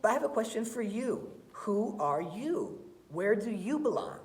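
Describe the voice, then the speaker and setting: A woman teacher reading aloud and talking to students in a deliberate, emphatic way. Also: lowish voice. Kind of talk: expressive read-aloud and lesson talk for children. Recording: roomy, echoey sound.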